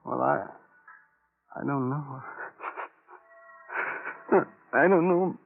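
An actor playing a distraught, dependent husband stricken by guilt, faltering and pleading in a 1950s radio drama. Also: male voice, medium pitch, extremely slow, gravelly, slightly rough, exaggerated voice. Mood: dazed, pleading. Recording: noisy, tinny vintage radio broadcast.

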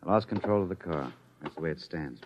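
An actor playing a desperate, protective husband on the run from the future in a classic radio drama, grave and resolute. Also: male voice, very deep voice, lively intonation, quick pace, a little mellow, dull audio, a little hiss or hum. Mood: relieved, quiet. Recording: old classic radio recording.

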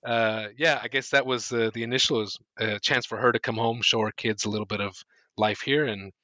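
Bright-voiced American man, relaxed and joking. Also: medium pitch, a little fast, no room sound. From United States. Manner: relaxed, self-deprecating, laughing, with fillers. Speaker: guest, filmmaker and lecturer. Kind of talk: casual interview conversation.

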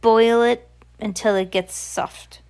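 A woman's voice: a little high, fairly even intonation, slow pace, creaky, darkish tone, smooth, voice pushed up. Woman voicing an imperious, scheming storybook witch. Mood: boastful, instructing. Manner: commanding, oddly phrased grammar. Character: imperious, scheming, vicious female Grand High Witch.

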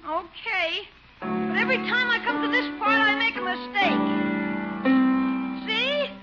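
A woman's voice: very high-pitched, unhurried, loud music behind the voice. A woman playing a young, reluctant schoolboy nephew with a boyish, whiny manner in an old-time radio comedy. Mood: protesting. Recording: dull vintage radio recording.

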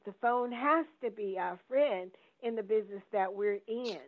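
A woman's voice: mid-range voice, normal speed. A woman hosting a podcast, an insurance seller who introduces her guest in an upbeat, emphatic way. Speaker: podcast host, Medicare plan seller. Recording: tinny phone-line sound.

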